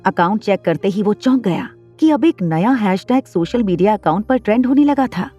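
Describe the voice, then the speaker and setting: A woman narrator with a mellow, mid-pitched voice tells a scripted dramatic story. Soft music plays underneath her narration. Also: animated, normal speed, muffled recording. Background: soft background music.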